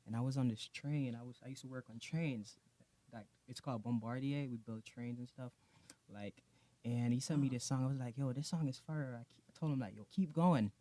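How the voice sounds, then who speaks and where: A man sits for a casual podcast interview, talking about his music. He tells his story loosely and in slang, circling back to the same phrases as he goes. His voice sits at an ordinary male pitch. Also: fairly quick, warm tone, dry recording. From Jamaica. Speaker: guest, music artist.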